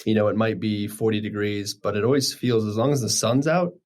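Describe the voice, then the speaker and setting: A man's voice: fairly low pitch, brisk, a little mellow. Man hosting a podcast interview. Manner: friendly, asks loose questions with fillers. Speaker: podcast host. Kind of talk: casual interview.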